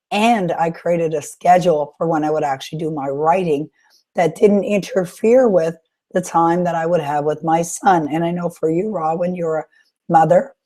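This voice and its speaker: A woman with a low, mellow voice hosts a live webinar, her throat a little sore. She coaches listeners through their questions in an encouraging way, pausing often with small fillers. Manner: warm encouraging coaching talk with frequent "uh" pauses. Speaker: webinar host and author coach.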